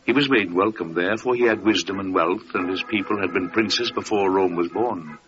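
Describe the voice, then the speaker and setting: A man's voice: low voice, moderate pace. A man narrating a classic radio drama in a solemn, scripted storytelling manner. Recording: dull, noisy old radio recording.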